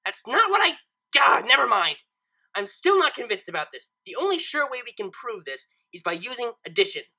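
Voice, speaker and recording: A woman's voice: fairly high pitch, sing-song, brisk, bright, forceful tone, slightly rough, pitched above the performer's own voice, exaggerated voice. A woman voicing a stern, proudly clever female cartoon unicorn who sounds impatient and emphatic, in a fan fiction reading. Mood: exasperated. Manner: emphatic, impatient, self-assured.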